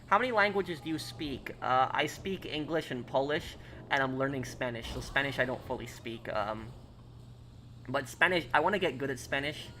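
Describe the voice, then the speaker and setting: An American man in his twenties talks to his stream chat in a loose, hesitant way, full of "uh"s and restarts as he answers viewer questions. His pitch sits in an ordinary middle range. A faint hiss of room noise runs underneath. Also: normal speed, bright, forceful tone. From United States. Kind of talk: casual live-stream chat.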